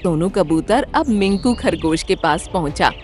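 Lively woman telling a children's story. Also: on the low side, unhurried, soft background music. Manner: lively, expressive. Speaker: narrator.

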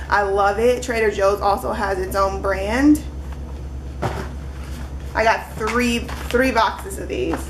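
A woman's voice: fairly high pitch, a little hiss or hum, low-quality recording. A young woman in her twenties chatting casually in a home kitchen, holding up groceries one by one for a webcam vlog. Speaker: vlogger.

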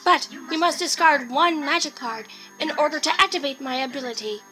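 A woman voicing a calm, solemn guardian spirit in a cartoon. She speaks formally and serenely, with music behind her on a TV playback. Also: high-pitched, moderate pace, natural voice, low-quality recording. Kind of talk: English-dubbed anime acting.

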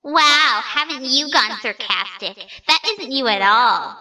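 A bitter cartoon child acted by a woman speaks in a high, cutting voice with big swings in pitch. The delivery is theatrical, sneering and hot-tempered, full of mockery. It is recorded a little away from the microphone. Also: expressive, a little slow, pushed and bright, recorded from a distance, full character voice, poor audio. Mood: irritated. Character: bitter, mocking, hot-tempered fallen child with dark power. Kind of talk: comic dub character acting.

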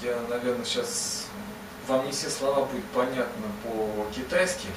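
A man's voice: mid-range voice, fairly even intonation, unhurried. A man telling a comic story to an audience in a chatty, digressive and self-mocking way. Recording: roomy, distant mic, ambient noise.

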